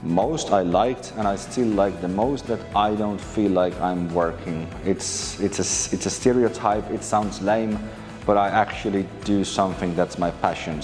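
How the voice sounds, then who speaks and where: A man in his thirties from Finland, a maritime lecturer answering interview questions in a relaxed way, with frequent fillers and restarts. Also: low-pitched, big-room sound. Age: 30s. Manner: hesitant, many fillers and restarts.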